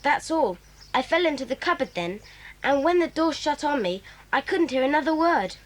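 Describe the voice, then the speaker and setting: A woman voicing an excitable, eager schoolboy who talks in a lively, chatty, breathless way in a 1980s children's audio drama. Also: sits high, moderate pace.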